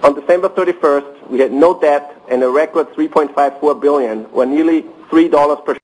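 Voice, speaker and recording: Man, finance executive, slightly high voice, formal scripted delivery, conference call audio. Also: darkish tone, poor audio. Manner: measured, formal, businesslike delivery. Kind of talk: reading prepared earnings remarks on a conference call.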